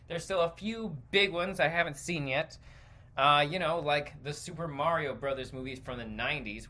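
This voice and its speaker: A man's voice: on the high side, pushed and bright. A man hosting a podcast, giving casual, rambling, opinionated solo commentary in a conversational way. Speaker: podcast host.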